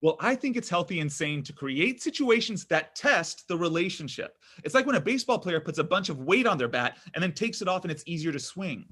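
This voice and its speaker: A man's voice: high-pitched, very animated, brisk, hardly any pauses, a bit bright, breathy edge, exaggerated voice. A man acting a needy, self-dramatizing man in his thirties at a comedy table read, exasperated and argumentative. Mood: sulky. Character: needy, whiny, self-dramatizing thirty-four-year-old son.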